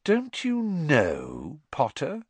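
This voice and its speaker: A man's voice: on the low side, expressive, unhurried, fairly bright tone, dry recording. A man voicing a sly, malicious schoolboy bully in an audiobook, with a drawling, taunting manner. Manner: drawling, sneering, insinuating.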